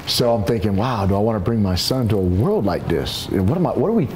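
A man's voice: lowish voice, sing-song, fast, darkish tone. A man in his forties hosts a casual barbershop talk and speaks in an earnest, reflective way. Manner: earnest, reflective, prompting others. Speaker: host. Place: barbershop, indoors. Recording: echoey shop with faint noise.

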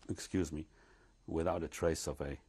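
An older man speaks in a deep, slightly husky voice. He recounts memories in a measured, reflective way, pausing and restarting often. He is recorded on a lapel mic in a living room. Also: steady tone, a little mellow. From Poland. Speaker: interviewee. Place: living room, seated before curtains.

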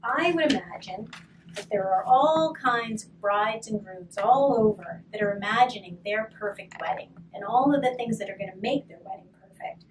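Soft-spoken woman reading a picture book. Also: mid-range voice. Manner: soft, slow and gentle, often trailing to a whisper. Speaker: hospice volunteer reading to children. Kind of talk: gentle storybook reading and activity demo. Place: curtained room, indoors. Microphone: far camera. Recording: distant mic with faint room noise.

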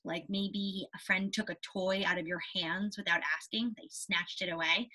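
A woman's voice: mid-range voice, steady tone, normal speed, sharp tone, rough recording. A young woman teaching a read-aloud lesson to young children, speaking expressively, stressing and repeating key words and checking in often. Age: young adult. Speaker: elementary school teacher. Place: small indoor room with a whiteboard.

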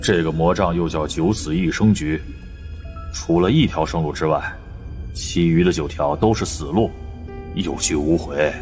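Man putting on a very deep, glum comrade. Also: normal speed, darkish tone, faint music behind. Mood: gloomy. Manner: glum, grave. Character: grim, gloomy male comrade.

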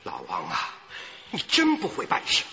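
An actor playing an anxious, self-serving company boss in a radio drama, hesitant and self-pitying, with sighs. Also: male voice, on the low side, huge pitch swings, moderate pace, vocal fry, sharp tone, full character voice. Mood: worried, reproachful. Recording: muffled, reverberant hall sound, faint music.

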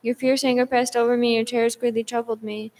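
Woman reciting psalms in a flat monotone. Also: fairly high pitch, fast. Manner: steady monotone recitation with occasional restarts. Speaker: reader of liturgical psalms. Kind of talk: psalm reading in English and Greek.

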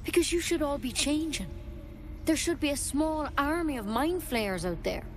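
A woman playing an earnest, knowledgeable druid in a video game, speaking in a frank, measured, explanatory way. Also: high-pitched, expressive, slight background noise. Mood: grave, explaining. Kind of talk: video game dialogue acting.

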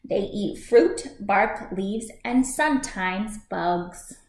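A woman in her thirties reading a picture book aloud for young children as a storytime host, expressive and clearly paced. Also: medium pitch, drawn-out words. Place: small room at home, indoors by a shuttered window. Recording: echoey home room.

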